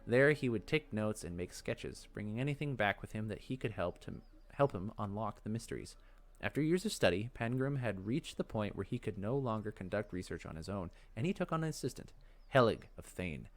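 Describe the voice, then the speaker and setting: A man's voice: lowish voice, lively intonation, brisk. A man reading game lore aloud as narration for a let's play. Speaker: gaming video host.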